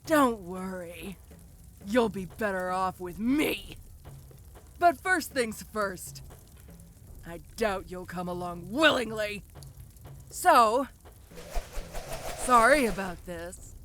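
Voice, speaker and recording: A woman's voice: mid-range voice, animated, slow pace, pauses often, fairly bright tone, a little hiss or hum. A woman voicing a tough, domineering giantess ogre in a fantasy audio roleplay, talking to the listener in a casual, teasing, condescending way. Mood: smug, soothing.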